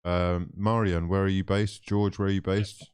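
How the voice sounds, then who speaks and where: A man hosts a casual football live stream in a deep voice. His speech is smooth and resonant, recorded dry and close. He chats loosely with plenty of fillers and reads viewer comments out. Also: little pitch movement, normal speed, darkish tone, dry recording.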